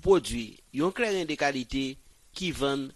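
A man reading a news report as voice-over in a measured way. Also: mid-range voice, expressive, a little hiss or hum.

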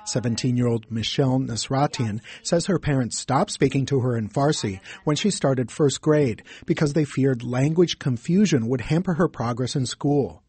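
A man reporting a news feature in scripted, measured narration. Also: mid-range voice, a little mellow, slightly rough.

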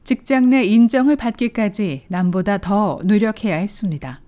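A woman narrating a documentary news feature in a measured, formal script. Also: medium pitch, warm tone. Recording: narration over faint music.

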